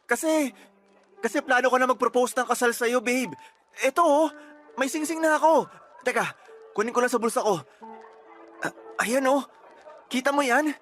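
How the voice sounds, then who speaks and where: An actor playing a placating, pleading boyfriend in a radio drama. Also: male voice, very high-pitched, moderate pace, pauses often, fairly bright tone, faint music behind. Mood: pleading, desperate. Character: pleading, placating boyfriend who sides with his family.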